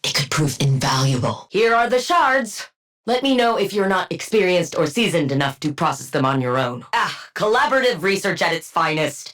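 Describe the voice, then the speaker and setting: A woman's voice: fairly low pitch, a bit bright. A woman playing a haughty, sardonic scientist supervillain in a video game, with clinical, precise diction and dry, condescending wit. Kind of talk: scripted game character voice lines.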